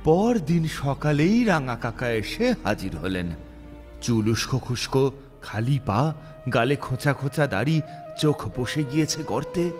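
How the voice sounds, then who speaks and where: A male storyteller narrates with a mid-range voice and very lively intonation. His delivery is scripted, dramatic and suspenseful, set over clear background music. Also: medium pitch, a little slow. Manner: expressive, suspenseful.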